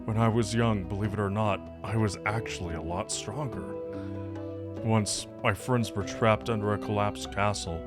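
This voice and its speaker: A man voicing a big, gentle older bear doctor in a visual novel, speaking in a measured, reassuring, fatherly way. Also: fairly low pitch, lightly acted. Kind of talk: visual novel character read-aloud. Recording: music behind the voice.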